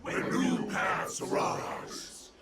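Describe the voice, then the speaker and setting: A man's voice: shouting, over a noisy crowd. A man playing a bloodthirsty, dramatic wolf warlord in a video game, growling out grandiose, menacing speeches. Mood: roaring zeal. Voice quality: gravelly growl. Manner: grandiose, menacing, slow declaiming. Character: bloodthirsty dramatic male wolf warlord rallying his pack. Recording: music under the voice.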